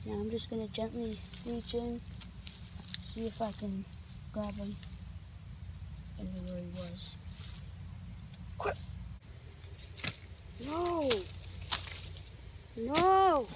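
A teenage boy with a fairly high voice chats excitedly as he vlogs in the woods. He curses under his breath as he works and is filmed on a handheld phone. Loud outdoor noise runs under everything. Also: takes it slowly, long pauses. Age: teens. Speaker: vlogger. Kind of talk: casual outdoor vlog. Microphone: handheld phone camera.

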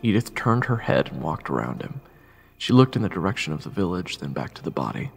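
A man playing a grim, watchful adventurer who narrates a fantasy audio drama gravely and deliberately. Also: deep voice, sing-song, heavily acted. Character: grim, watchful male fantasy adventurer telling his tale. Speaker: narrator and character. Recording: music playing behind. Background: background music.

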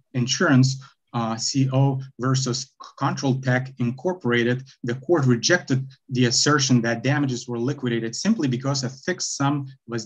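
Hesitant Lithuanian-accented man on a video call. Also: medium pitch. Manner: halting, many fillers and restarts, reading case citations. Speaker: appellant arguing his own appeal. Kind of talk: remote oral argument before a court. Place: bright indoor room, video call. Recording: dull lo-fi video call audio.

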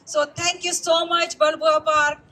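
An older woman with a very high voice speaks halting, English, thanking her community. She talks into a handheld mic on an outdoor park stage. Faint ambient noise sits behind her. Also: flat delivery, sharp tone. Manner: hesitant, thankful, with non-native phrasing. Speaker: community organizer. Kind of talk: event welcome speech. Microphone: handheld mic over a PA.

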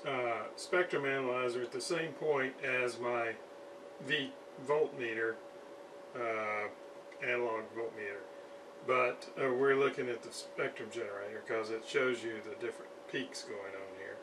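Male hobbyist demonstrator, unhurried and hesitant, off-mic with some faint background noise. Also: mid-range voice, steady tone, distant mic. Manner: halting, hesitant, thinking aloud. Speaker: radio restorer, video host. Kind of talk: casual hands-on demonstration talk. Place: indoor home workshop at a desk.

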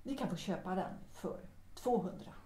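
Woman acting proud, firm mother, expressive intonation. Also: medium pitch, slight background noise, close to an everyday voice. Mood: proud, insistent. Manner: firm, formal, cool. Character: proud, determined widowed mother, a café pianist. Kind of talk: Swedish audio drama acting. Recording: roomy, echoing sound.

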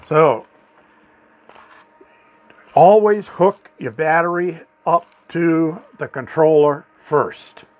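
A man's voice: mid-range voice, lo-fi sound. Folksy man explaining his solar wiring. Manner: folksy, repeats words, asks "okay?" after steps. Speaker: homestead channel host. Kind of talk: hands-on how-to demonstration. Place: small solar battery shed, indoors.

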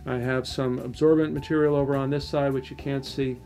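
A middle-aged man, a loudspeaker designer, casually explaining his home listening room, hesitant with restarts. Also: mid-range voice, level tone, brisk, faint music behind. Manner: hesitant, many fillers and restarts, technical. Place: home listening room, carpeted and acoustically dead.

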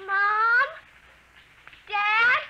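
Eerily calm, slow-talking little girl. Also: very high-pitched, full character voice. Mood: coolly coaxing. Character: eerily calm, sing-song little girl allied with invaders. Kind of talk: 1950s radio drama acting. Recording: muffled, very noisy vintage radio.

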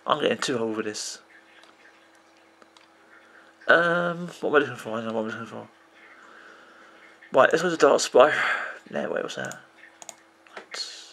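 A man in his thirties with a croaky voice, rambling off the cuff as he vlogs about video games at his computer, full of restarts and "you know"s. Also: medium pitch, expressive, measured pace, long pauses, fairly bright tone. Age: 30s. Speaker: video game vlogger. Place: indoors at a computer.

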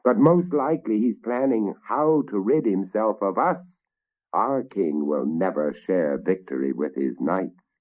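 A man's voice: on the low side, lively intonation, measured pace. A man voicing a suspicious knight who grumbles warily about his king, in a dull lo-fi recording.

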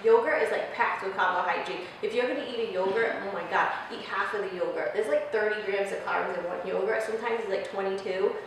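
A woman's voice: mid-range voice, quick pace, hardly any pauses. Young woman chef in an echoey classroom. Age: young adult. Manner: animated, informal, peppered with "like". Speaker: chef giving a cooking demonstration. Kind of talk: casual spoken demonstration to a class. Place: large classroom, indoors. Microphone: distant camera microphone. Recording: echoey room, distant mic, noisy.

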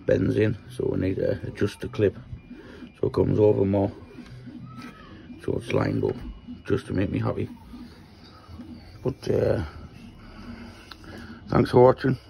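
A man from Newcastle with a Geordie accent and a croaky voice, casually talking through his workshop project while filming on a handheld phone in a small shed. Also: fairly low pitch, warm tone, slight background noise, low-quality recording. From Newcastle, England. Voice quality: croaky, gravelly voice. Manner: rambling, explains while handling parts. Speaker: maker vlogger.

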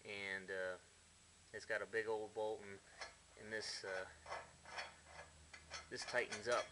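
Guy in his garage, lowish bright voice, blunt and folksy, some cussing, faint hiss. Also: flat delivery, takes it slowly. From United States. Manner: blunt, folksy, mildly profane. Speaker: hobbyist builder. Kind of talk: casual workshop walkthrough. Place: dim garage workshop.

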